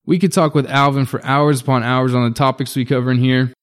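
An upbeat male podcast host reads through his episode introduction with eager enthusiasm. His voice is smooth and resonant, at a middle pitch. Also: level tone, a little fast. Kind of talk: scripted episode intro and interview questions.

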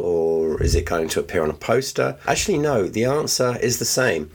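A man who is a photographer, congested from the flu, explaining his ideas thoughtfully on a podcast from his studio over the line. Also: low-pitched. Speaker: guest photographer. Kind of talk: podcast interview. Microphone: phone line.

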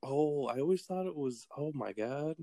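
A man's voice: a little high, animated, moderate pace, dark tone, no room sound, clean studio sound. A man with a Texan accent in casual chat as a podcast co-host, laughing easily and hedging his points with frequent fillers. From Texas. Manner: laughs easily, hesitant, lots of "like".

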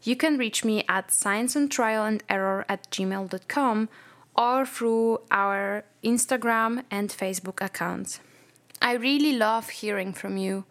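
A woman hosting a podcast panel, introducing guests and asking questions in a friendly, measured way. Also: mid-range voice, a bit bright. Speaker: podcast host.

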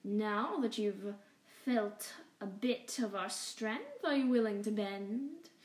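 A woman's voice: mid-range voice, lively intonation, darkish tone. A woman voicing a cruel, sneering rat king. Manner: sneering, drawn-out menacing speech. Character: scheming male opossum rat king, boastful, cruel. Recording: echoey room, mic at a distance.

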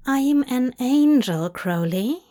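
A fussy, gentle male angel , as a woman reads him, soft and cooing. He speaks primly and slowly, trying to soothe. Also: high voice, expressive.

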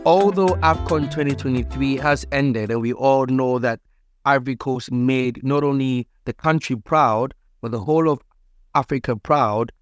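A man's voice: medium pitch, normal speed, dry recording, soft background music. Upbeat male podcast host, dry sound. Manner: upbeat, formal introductions. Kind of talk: podcast interview hosting.

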